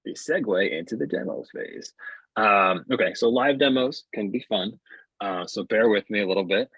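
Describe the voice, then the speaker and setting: Low voiced guy doing a casual software demo, kinda halting, dry dull video call sound. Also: slightly rough, dry recording. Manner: halting, conversational, often checks with "right?". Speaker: software developer presenting his tool. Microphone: earbuds on a video call.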